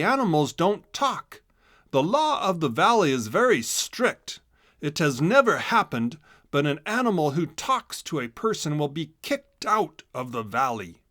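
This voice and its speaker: A man's voice: high-pitched, fairly bright tone, no echo, studio quality, voice pushed up, full character voice. Man as a stern, emphatic storybook animal mayor. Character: stern, authoritative male animal mayor of a hidden valley.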